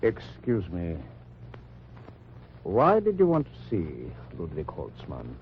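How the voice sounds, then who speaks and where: A guarded German resistance man in an old radio drama, German accent and clipped, wary speech. His low voice rises and falls widely. The recording is dull and lo-fi with a faint hiss. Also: huge pitch swings, takes it slowly, exaggerated voice. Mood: cautious. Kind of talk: 1940s radio acting.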